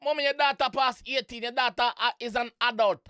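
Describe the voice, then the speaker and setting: A Jamaican host reacting to music in a casual, excitable, playful way, repeating phrases and singing along. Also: medium pitch, fast, smooth, dry recording, clean studio sound. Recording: music playing in the background.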